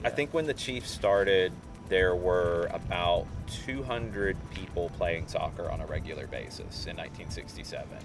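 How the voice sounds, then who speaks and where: A man's voice: deep voice, animated, normal speed, few pauses, over music. A man in an informal interview, explaining local soccer history in a conversational way.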